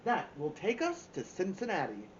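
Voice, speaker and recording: A man voicing a brisk, organized mother who announces road-trip plans with emphasis in a storybook. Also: high voice, huge pitch swings, voice pushed up. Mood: organized, upbeat. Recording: roomy, dull, faint background noise.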